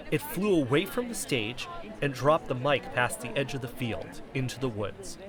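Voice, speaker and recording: A man's voice: medium pitch, close to an everyday voice. An actor playing an earnest, driven young man, narrating in the first person with intense, suspenseful storytelling.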